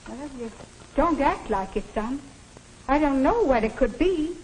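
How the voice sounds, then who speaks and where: High-voiced worried 1950s mother. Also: expressive, measured pace. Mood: anxious, fretting. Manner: anxious, plaintive, scolding gently. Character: worried, fussing middle-aged mother of a teenage boy. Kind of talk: 1950s educational film acting. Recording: noisy vintage 1950s film soundtrack.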